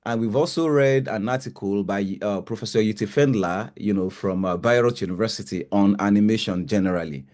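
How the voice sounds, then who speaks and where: A man's voice: fairly low pitch, fairly quick, few pauses, darkish tone. Man introducing a class guest, fillers. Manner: hesitant, lots of "you know". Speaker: university lecturer.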